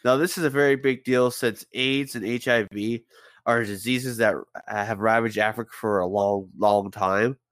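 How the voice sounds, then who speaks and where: A man's voice: medium pitch, fairly even intonation, moderate pace, a bit bright, dry recording. A man with a head cold hosting a casual podcast, rambling through a story and stumbling over names as he swears offhandedly. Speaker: podcast host.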